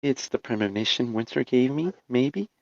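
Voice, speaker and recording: A man voicing a calm, knowing, teasing young woman in a fan fiction reading. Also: mid-range voice, normal speed, gravelly, no echo. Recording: dull, lo-fi microphone sound.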